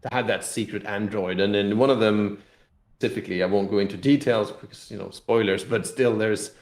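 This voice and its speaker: A man's voice: fairly low pitch, fairly quick. A man explaining things casually as an interview guest, with loose, rambling phrasing. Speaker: game designer and CEO, interview guest. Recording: echoey, roomy sound.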